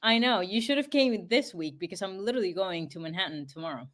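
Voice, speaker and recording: A woman's voice: mid-range voice, animated, fast talker. A young woman from Peru chatting as a podcast guest, full of fillers like "you know" and "like". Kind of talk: casual interview chat.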